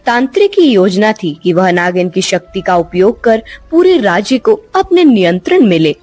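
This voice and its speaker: Female storyteller, medium pitch, scripted bedtime fairytale narration, light music bed. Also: sing-song, moderate pace, a little mellow.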